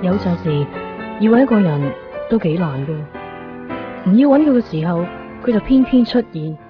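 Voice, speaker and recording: An actress playing a wistful, reflective narrator in a radio drama monologue, measured and pausing, with a Hong Kong Cantonese accent. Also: female voice, on the low side, lively intonation, close to an everyday voice. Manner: measured, pausing between short phrases. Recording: muffled 1990s radio, guitar music behind.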